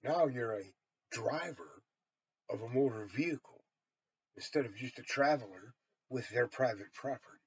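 A man's voice: mid-range voice, normal speed, long pauses, breathy edge, no room sound. An American man in his sixties giving a blunt, emphatic, profanity-heavy rambling monologue to a camera in a small room. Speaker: storyteller and vlogger. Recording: dull, lo-fi webcam sound.

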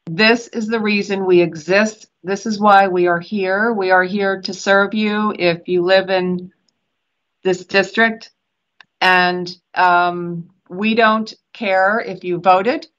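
An older woman moderating a public online meeting as an elected official, speaking in a deliberate, encouraging way over a video call. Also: mid-range voice, a bit bright. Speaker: elected official hosting an event. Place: small office. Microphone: video call computer microphone. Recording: dull video call audio.

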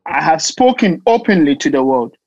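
A woman playing a calm, unyielding preacher on trial in an audio play, measured and declarative. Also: low-pitched, no echo, muffled recording, heavily acted. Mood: calm, firm. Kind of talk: dramatic audio play acting.

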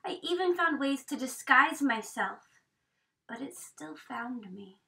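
A woman in her thirties reading a picture book aloud expressively, with dramatic hushed emphasis, recorded from a camera across a small room. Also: sits high, expressive, takes it slowly, gravelly. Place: small indoor room with bookshelves. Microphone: camera a few metres away. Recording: distant microphone in a room.

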